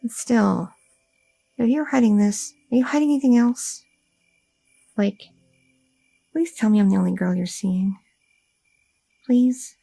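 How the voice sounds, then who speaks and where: A woman playing a breathy, anxious goth girlfriend. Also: mid-range voice, a little slow, pauses often, no echo, pitched below the performer's own voice, rough recording. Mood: tender. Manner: soft, affectionate, a little hesitant, with fillers. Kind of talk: ASMR audio roleplay.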